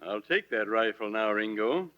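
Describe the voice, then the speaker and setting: An actor playing a firm, gruff American sheriff, brisk and authoritative, in a 1940s radio western. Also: male voice, on the low side, expressive, sharp tone. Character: firm, gruff frontier lawman riding shotgun. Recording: muffled old radio recording.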